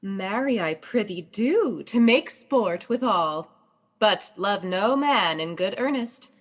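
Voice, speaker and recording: A woman's voice: mid-range voice, lively intonation, slow pace. A woman playing a young, loyal, witty princess in a Shakespearean radio play. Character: young princess, loyal, bright-witted cousin.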